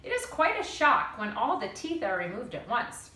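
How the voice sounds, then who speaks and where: A woman's voice: a little high, very animated, moderate pace, bright, forceful tone. Woman putting on a formal, nervous little male dentist, roomy sound. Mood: grave. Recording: roomy, distant mic, faint noise.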